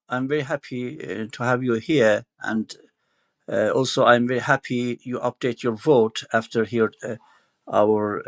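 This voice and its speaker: Iranian man, gravelly phone voice, careful. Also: medium pitch. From Iran. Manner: slow, careful English with pauses. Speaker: audience speaker. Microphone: phone in a voice chat app.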